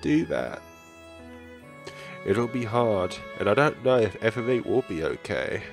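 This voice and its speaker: A man talking in an earnest, reflective, halting way as a gaming channel host. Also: on the low side, very animated, gravelly, music in the background. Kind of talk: reading visual novel text, reflective commentary.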